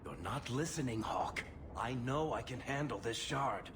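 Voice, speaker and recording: An actor playing a wry, weary dwarf adventurer in a video game, talking conversationally. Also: male voice, medium pitch, lively intonation, unhurried, background noise, lightly acted. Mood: insistent, desperate. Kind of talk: video game cutscene acting.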